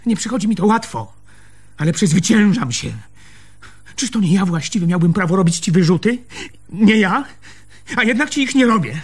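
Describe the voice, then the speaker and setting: Radio drama, insistent wronged fiancé, rough-edged voice, argumentative, repeats himself. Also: male voice, high voice, expressive, moderate pace, long pauses, exaggerated voice. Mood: indignant. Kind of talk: Polish radio theatre acting.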